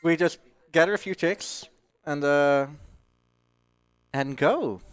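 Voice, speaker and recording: A man's voice: fairly high pitch, takes it slowly, clean tone, no echo, soft background music. A man giving casual, joking live commentary as a speedrunner, chatty throughout.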